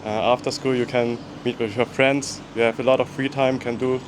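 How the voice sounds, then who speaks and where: A man from Germany, answering interview questions in a calm, measured, matter-of-fact way. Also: mid-range voice, a little hiss or hum. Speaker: interview guest.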